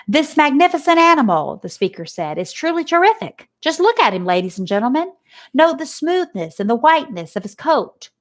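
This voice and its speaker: A woman reading aloud and voicing a pompous male fair loudspeaker announcer with a grand, booming delivery. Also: very animated, brisk.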